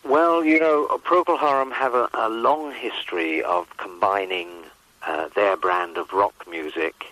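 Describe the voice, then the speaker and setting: A man in his fifties answering questions in a measured, hesitant way on a radio phone interview. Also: on the low side, steady tone, sharp tone, poor audio. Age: 50s. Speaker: rock band manager, interview guest. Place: on a phone call to a radio show. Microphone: telephone.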